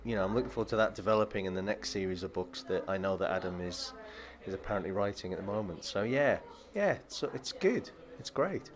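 A man's voice: lowish voice, animated, fairly quick, few pauses. A man, an actor, answering interview questions eagerly, heaping on praise and laughing easily.

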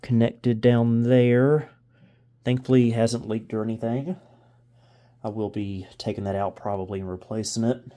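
A man's voice: medium pitch, steady tone, normal speed, warm tone. A man giving casual hands-on commentary as a video host, thinking aloud and often unsure. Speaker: host of a vintage computer video channel. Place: indoors in a home room.

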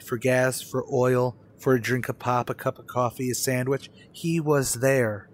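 A man's voice: medium pitch, slight background noise, lightly acted. An actor playing a haunted, unravelling young man in an old-time radio suspense drama, with a brooding, dramatic delivery.

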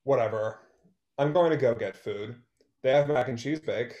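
Bitter, guarded male songwriter played by a man for a stage-play reading. Mid-pitched, terse, sarcastic, in a roomy echo. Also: moderate pace, dark tone, highs cut off, natural voice. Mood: resigned. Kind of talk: online one-act play table read. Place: small room at home, video call. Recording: roomy, echoey video-call sound.

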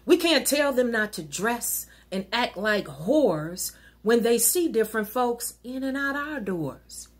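A middle-aged American woman reciting rhyming spoken-word poetry like a minister, with a deliberate, emphatic, preacherly cadence. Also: on the high side, sing-song, husky. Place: wood-panelled living room at home.

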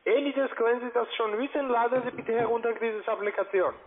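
A man with a foreign accent and a high voice on a tinny phone line. He makes a formal sales pitch as an account manager, halting often and using broken non-native grammar. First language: not German. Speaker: cold-calling account manager.

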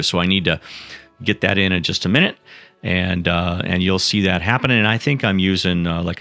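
A man's voice: low-pitched, pushed and bright, no echo. A man giving a casual, rambling painting lesson, full of fillers and restarts. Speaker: painting instructor. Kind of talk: casual painting tutorial voice-over.

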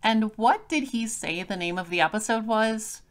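A woman's voice: a little high, moderate pace, close to an everyday voice. A woman playing a composed, droll space detective, narrating an audio drama in a dry, matter-of-fact way.

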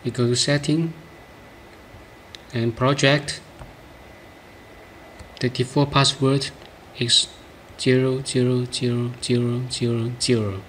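A man demonstrating a product hands-on, speaking in short, halting phrases, recorded indoors on a handheld device. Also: mid-range voice, level tone, a bit bright, a little hiss or hum, poor audio. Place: indoor room, beside a tabletop.

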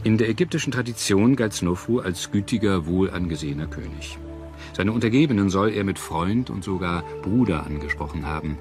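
A man reading scripted documentary narration in a measured, articulate storytelling manner. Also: low-pitched, expressive, a little mellow. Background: background music.

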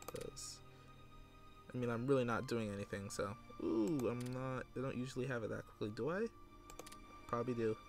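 A man's voice: medium pitch, moderate pace, faint music behind. A man giving casual let's play commentary, rambling and thinking aloud with casual swearing. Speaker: gamer recording a let's play. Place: indoors at a computer.

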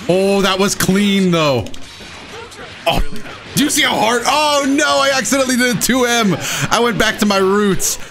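A man giving casual, excitable commentary while he plays a video game, with playful dramatic flourishes. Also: high-pitched, normal speed, vocal fry, faint music behind. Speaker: gaming video host and player. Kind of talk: casual live gameplay commentary.

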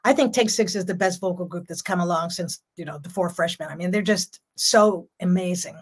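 A woman's voice: on the low side, brisk, husky. An older woman talks in a loose, rambling way, laughing between thoughts. Her voice is strong and carries well. She is heard over a home video call. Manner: chatty, laughs often, rambling. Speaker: jazz singer, guest. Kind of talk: casual video-call interview. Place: home room.

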